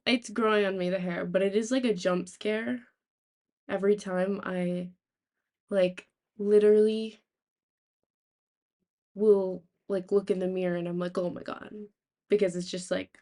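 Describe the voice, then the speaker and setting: Raspy young woman, slow and halting. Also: fairly low pitch, a little mellow. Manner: halting, rambling, thinking aloud. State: sick with a sore throat. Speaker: live streamer. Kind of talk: casual chat with live stream viewers. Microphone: laptop, without usual microphone.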